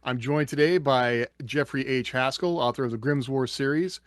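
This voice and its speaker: A man's voice: mid-range voice, normal speed, no echo, clean studio sound. A man hosting a casual panel chat in a playful, joking way. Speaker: podcast host.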